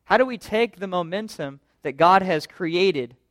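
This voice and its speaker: A man with a fairly high voice preaches to his congregation, earnest and conversational, asking question after question. The sound is close and dry, with no room around it. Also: expressive, unhurried, a bit bright, no room sound. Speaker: pastor. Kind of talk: sermon.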